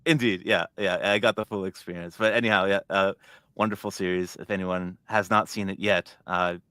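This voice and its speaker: Deep, flat-toned, halting male host. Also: dry recording, clean studio sound. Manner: hesitant, halting phrasing. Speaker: show host. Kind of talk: casual interview hosting.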